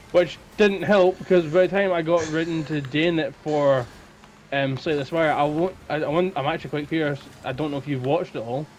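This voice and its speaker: A man co-hosting a casual gaming podcast, talking in a halting way and often repeating his words. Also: a little high, steady tone, rough recording. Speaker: podcast co-host. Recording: faint background noise.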